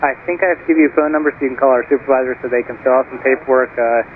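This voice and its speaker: A man's voice: medium pitch, fairly even intonation, racing. A man working as an air traffic controller, giving procedural, clipped instructions over the radio. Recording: tinny, slightly noisy tower radio.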